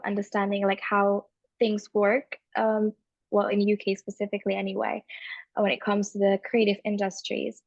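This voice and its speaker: Soft-spoken young woman from Indonesia. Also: mid-range voice, no echo, dull audio. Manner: thoughtful, hesitant with many fillers. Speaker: guest, magazine founder. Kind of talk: casual interview chat.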